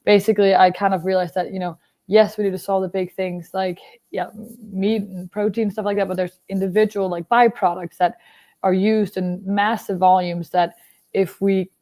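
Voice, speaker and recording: A young woman from Denmark, a biotech startup founder, answering interview questions in a relaxed, explanatory way, full of fillers. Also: mid-range voice, normal speed. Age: young adult.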